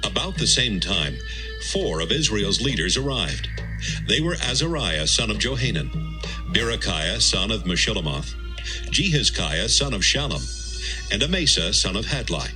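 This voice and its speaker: Expressive low-voiced man narrating scripture. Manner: measured, solemn storytelling. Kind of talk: scripted dramatised Bible narration. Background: music.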